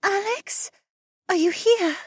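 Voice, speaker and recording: A faint, weary young oryx woman calling from far away, as a male storyteller puts her on. Her voice sits very high and breathy-soft, strained and close to tears. It has the hushed, fading delivery of a storybook captive. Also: slow pace, dry recording. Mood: faint, anxious.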